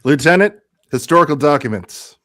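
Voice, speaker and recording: A man's voice: fairly high pitch, expressive, brisk, a bit bright. A man playing a confident, upbeat spaceship commander in a radio theatre read, breezy and encouraging. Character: upbeat, confident male spaceship commander, eager tour guide.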